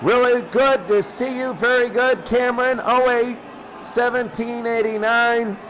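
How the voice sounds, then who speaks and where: A man's voice: extremely high pitch, fairly even intonation, measured pace, a bit bright, a faint crowd, a little hiss or hum, poor audio. A man doing football play-by-play as the announcer, often repeating his phrases. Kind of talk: amateur football play-by-play commentary.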